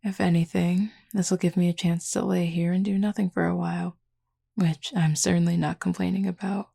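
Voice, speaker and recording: A flirty werewolf woman, played by a voice actress in an intimate audio roleplay. Her low voice is thick with gravelly fry and husky at the edges. She talks in an unhurried, nonchalant way, keeping her intonation very even. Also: heavily acted. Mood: relaxed, casual. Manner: casual, unhurried, teasing, nonchalant. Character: flirty laid-back female werewolf, tattooed, scarred, protective.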